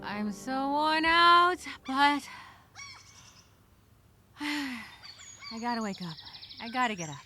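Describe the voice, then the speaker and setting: A woman's voice: high-pitched, expressive, sharp tone, slight background noise, pitched above the performer's own voice, heavily acted. A woman voicing an anxious, wry castaway speaking her survival journal in a theatrical, conversational audio story. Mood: exhausted, pleading. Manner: conversational, theatrical, halting, with sighs. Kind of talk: solo dramatic monologue, audio journal storytelling.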